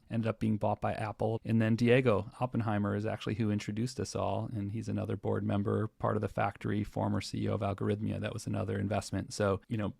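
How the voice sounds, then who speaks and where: A man hosts a conversational business interview in a low voice with a gravelly, fried edge. His pitch moves very little, staying almost flat from phrase to phrase. The sound is close and dry. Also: no room sound. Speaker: interview host, investor.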